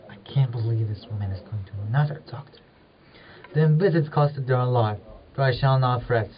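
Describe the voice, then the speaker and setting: A man's voice: mid-range voice, brisk, crowd noise, natural voice. A man playing a poor, conflicted farmer with rural old-fashioned phrasing in an amateur period drama. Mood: brooding, longing. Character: poor, conflicted married farmer, secretly in love. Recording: muffled audio, distant microphone.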